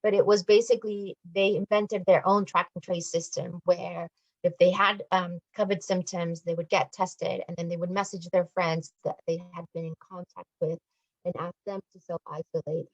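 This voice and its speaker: A woman's voice: lowish voice, little pitch movement, normal speed, no echo, low-quality recording. A woman explaining a community campaign as a guest in a radio discussion, speaking over an online call.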